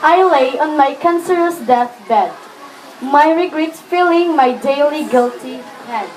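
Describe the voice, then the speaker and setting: Girl reading out story lines slowly, high voice, bit of room noise. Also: poor audio. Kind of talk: scripted recitation.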